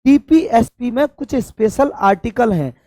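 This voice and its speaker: A hoarse man from India, teaching an exam-prep lesson and stressing points by repeating them. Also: extremely high pitch, animated, unhurried, warm tone, dry recording, studio quality. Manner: addresses students affectionately, emphatic repetition. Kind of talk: classroom-style exam coaching lecture.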